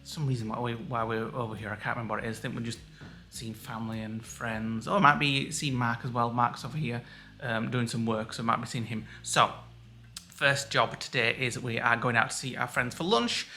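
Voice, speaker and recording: Relaxed chatty man vlogging. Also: mid-range voice, a little fast, a little hiss or hum. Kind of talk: casual chat. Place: in a car.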